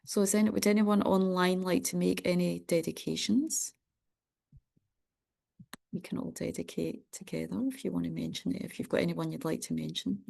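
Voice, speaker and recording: A woman Buddhist nun leading a prayer ceremony for an online group, reciting in a steady, measured way and chatting warmly with participants. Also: lowish voice, gravelly. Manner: friendly, chatty greeting of participants.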